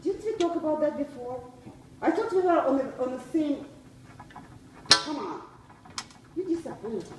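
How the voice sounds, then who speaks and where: A woman's voice: sits high, big pitch swings, normal speed, pauses often, reverberant, distant mic, very noisy. A woman from the former Soviet Union, talking in a coaxing, reassuring way.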